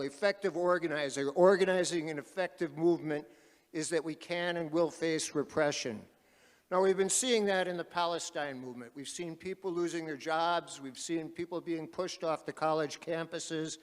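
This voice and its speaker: A man moderating a panel at an activist conference, speaking loudly and calling out to the crowd like a rally speaker. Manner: loud, booming, calls out to the crowd. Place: large conference hall. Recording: reverberant hall.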